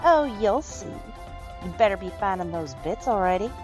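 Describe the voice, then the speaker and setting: A woman's voice: mid-range voice, animated, normal speed, music in the background, lightly acted. A woman voicing a feisty, stubborn young female cartoon pony farmer with a folksy drawl. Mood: cocky.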